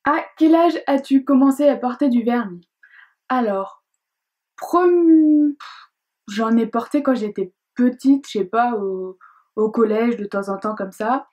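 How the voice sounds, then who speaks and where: Young woman, beauty vlogger, fairly high pitch, easygoing chatty delivery full of fillers. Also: unhurried. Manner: chatty, hesitant, many fillers and restarts; reads questions aloud in a brighter voice. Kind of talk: casual chat to camera.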